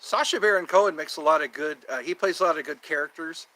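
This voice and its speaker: A man in his fifties chats loosely with his livestream audience, wandering from one topic to the next. His voice sits a little high and has a bright, forceful edge. He often breaks into quick put-on voices between his asides. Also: no room sound, poor audio. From United States. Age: 50s. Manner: chatty and meandering, often breaks into quoted voices. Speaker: livestream host. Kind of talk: rambling casual livestream chat with viewers.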